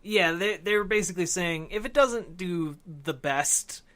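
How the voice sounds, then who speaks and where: A man's voice: sits high, measured pace, bright, forceful tone. A man in casual conversation as a podcast co-host, explaining things informally and a little tentatively. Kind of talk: casual discussion of games.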